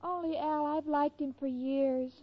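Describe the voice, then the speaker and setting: A woman's voice: sits high, steady tone, unhurried. An actress playing a naive, ditzy young blonde woman in a 1940s radio sitcom. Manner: naive, literal-minded. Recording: dull vintage 1940s radio, studio audience.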